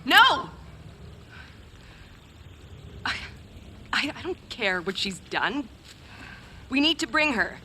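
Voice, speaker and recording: A woman's voice: a little high, sing-song, a little slow, bright, forceful tone, a little hiss or hum, heavily acted. A woman acting an earnest, compassionate and troubled visionary in a video game cutscene, hesitant and pleading.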